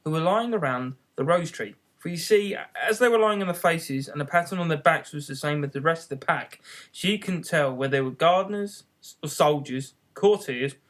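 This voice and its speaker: A man reading a children's classic aloud in an expressive storybook narration style. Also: fairly high pitch, moderate pace. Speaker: audiobook reader.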